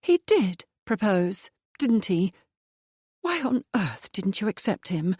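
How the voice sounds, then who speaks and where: A woman voicing a sharp, teasing young woman who pries and needles in a raised, higher voice. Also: sing-song, takes it slowly, husky, no echo, clean studio sound, exaggerated voice. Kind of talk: audiobook dialogue.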